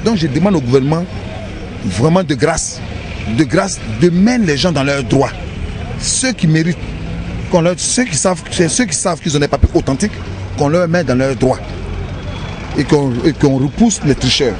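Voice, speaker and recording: Ivorian guy in a street interview, highish voice, emphatic, repeats himself, loud traffic noise. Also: expressive, normal speed, long pauses. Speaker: aggrieved resident interviewed on the street. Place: outdoors on a city street. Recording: noisy outdoor street with traffic.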